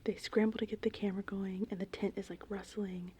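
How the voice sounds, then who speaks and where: A woman speaking in a breathy, hushed whisper as an ASMR host, rambling informally through a film recap. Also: mid-range voice, level tone, brisk. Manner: informal, rambling, conversational retelling. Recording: faint background noise, rain and thunder ambience. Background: gentle rain and thunderstorm ambience.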